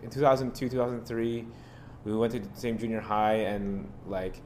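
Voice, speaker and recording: A young man, a rapper guest in a basement podcast interview, talking in a relaxed, joking way with lots of "like" fillers. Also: on the low side, moderate pace, slight background noise. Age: young adult. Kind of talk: casual interview chat. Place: home basement.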